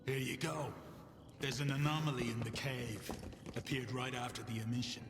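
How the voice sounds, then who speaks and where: A man's voice: medium pitch, fairly even intonation, moderate pace, noisy background, natural voice. A man playing a bitter, sardonic, foul-mouthed scavenger in a video game. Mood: grudging. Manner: sardonic, coarse. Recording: echoey reverberant space under music.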